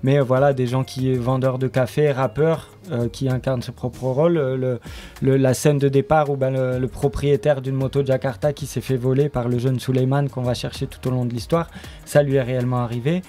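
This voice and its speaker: A man giving an unscripted radio interview as a guest, explaining his production in a hesitant, halting way. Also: mid-range voice, steady tone, mellow, soft background music.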